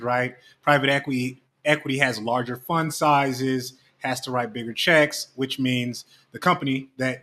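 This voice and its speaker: A man's voice: mid-range voice, sharp tone. A man hosting a podcast interview, upbeat and loose, asking long rambling questions. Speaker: podcast host.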